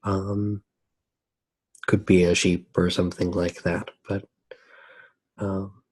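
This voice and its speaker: Slow, husky, low-voiced man speaking softly. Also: level tone. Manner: hesitant, musing, thinking aloud with pauses. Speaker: host of an ASMR drawing channel. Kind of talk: soft-spoken ASMR commentary while drawing.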